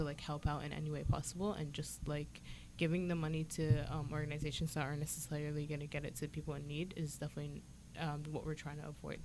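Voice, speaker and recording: A woman's voice: low voice, noisy background. A young woman, a college senior, answering interview questions in a casual, hesitant way with many fillers. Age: young adult. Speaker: student club member.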